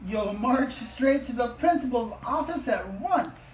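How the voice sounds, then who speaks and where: A woman's voice: fairly high pitch, animated, measured pace, darkish tone. Woman acting a sneering, stern schoolmaster in an echoey room. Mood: furious. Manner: sneering, clipped, scolding. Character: stern, scornful male schoolteacher, easily exasperated. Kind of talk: storybook character reading. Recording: echoey, distant, faint background noise.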